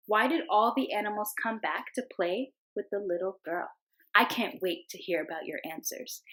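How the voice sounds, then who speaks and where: A young woman teacher reading a picture book aloud to her class in a gentle storytelling voice, calling the animals in a sing-song tone. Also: medium pitch, low-quality recording. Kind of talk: children's read-aloud.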